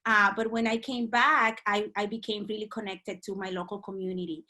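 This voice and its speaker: Dominican-born woman in her 40s, bright tone, lots of ums. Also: mid-range voice. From Dominican Republic. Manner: hesitant, many ums and uhs. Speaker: guest. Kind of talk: remote podcast interview.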